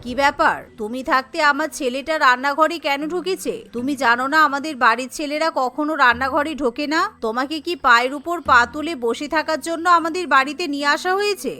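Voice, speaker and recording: Woman voicing a stern, sharp-voiced mother-in-law. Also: sits high, quick pace, hardly any pauses, voice pushed down. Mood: angry, accusing. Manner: accusing, domineering. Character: stern, scolding middle-aged mother-in-law. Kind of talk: animated family story dialogue.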